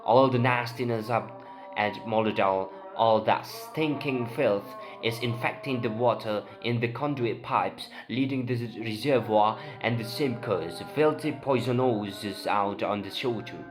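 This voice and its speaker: Man as crusading doctor, stage play reading, proud and indignant, declamatory, mid-pitched, prominent music underneath. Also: a little slow, natural voice. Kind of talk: amateur dramatic play reading. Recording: voice over prominent background music.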